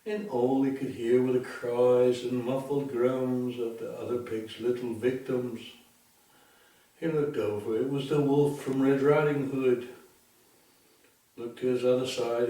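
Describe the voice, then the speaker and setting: Guy telling a twisted bedtime story, deliberate and theatrical, mock sympathy, far from the mic, really echoey. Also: medium pitch, steady tone, mellow. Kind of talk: darkly comic bedtime storytelling. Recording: very echoey room, distant microphone.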